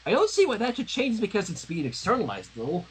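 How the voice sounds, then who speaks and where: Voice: medium pitch, wildly swooping pitch, brisk, slight background noise. A reader voicing an anxious, brainy, lovestruck teenage girl with an earnest, emotional and wordy way of talking in a story reading. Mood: apologetic.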